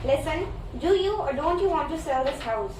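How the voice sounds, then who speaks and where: A woman's voice: high-pitched, animated, normal speed. A young woman student playing a brusque, impatient American buyer in a stage comedy reading, blunt and demanding. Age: young adult. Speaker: student actor. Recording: distant mic, echoey room, loud noise.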